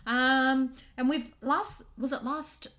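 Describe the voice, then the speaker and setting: A woman's voice: sits high, steady tone, slow pace, a bit bright. A young woman with an Australian accent, hosting a casual podcast and asking rambling questions full of fillers. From Australia (Sydney). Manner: rambling, lots of fillers and restarts. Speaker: podcast host. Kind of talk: casual interview chat.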